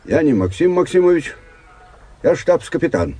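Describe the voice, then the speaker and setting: An actor playing an older, stiffly official yet kindly staff captain with a husky voice in a radio drama. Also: male voice, a little high, very animated, normal speed, mellow. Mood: stern, official. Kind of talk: Russian radio drama acting.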